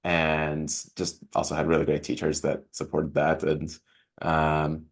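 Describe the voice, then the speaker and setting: A man in his thirties from Jamaica, a professor answering interview questions thoughtfully, with frequent chuckles and pauses. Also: extremely low pitch, monotone. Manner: thoughtful, chuckles often, many ums. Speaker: professor guest.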